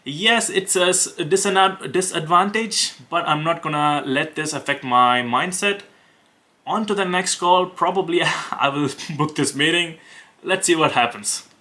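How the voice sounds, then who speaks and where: A young man with an Indian accent, talking casually to camera and pitching on sales calls, hesitant with many fillers and restarts. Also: unhurried, bright, forceful tone. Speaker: web design agency owner making cold calls.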